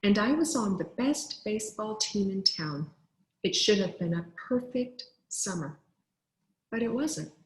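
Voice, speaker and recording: A woman teacher reading aloud to children in an expressive storybook style, with deliberate, clearly phrased delivery. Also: mid-range voice, roomy sound, poor audio.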